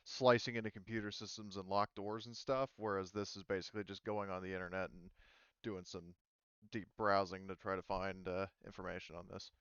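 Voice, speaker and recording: A man's voice: deep voice, little pitch movement, brisk, a bit bright, smooth, dry recording, lo-fi sound. A man refereeing a tabletop game as game master, casually explaining things in an informal way.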